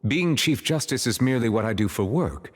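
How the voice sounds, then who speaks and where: A man playing a composed, formal chief justice in a game, speaking with measured courtesy. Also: mid-range voice, expressive, close to an everyday voice. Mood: courteous.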